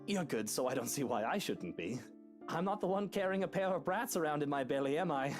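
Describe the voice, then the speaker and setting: A man's voice: on the high side, animated, fairly quick, faint music behind, natural voice. A man playing a wry, teasing young husband who frets about becoming a father, in video game dialogue. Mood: reassuring, wry. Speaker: voice actor in a visual novel game.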